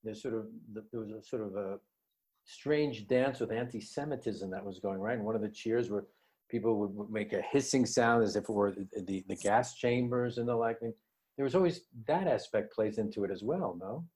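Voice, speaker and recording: Low-voiced man interviewing, tentative. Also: normal speed, darkish tone. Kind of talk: casual interview conversation.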